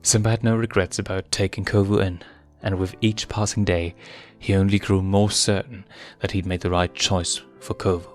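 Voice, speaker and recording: Low voiced guy reading a dramatic story out loud, bit of music behind him. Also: flat delivery, moderate pace, few pauses. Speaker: fan fiction reader and narrator. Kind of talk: dramatic scripted story narration.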